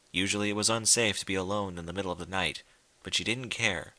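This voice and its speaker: A man reading stories aloud in a theatrical, deliberate style of dramatic scripted narration. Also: on the low side, sharp tone, no echo. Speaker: story reader.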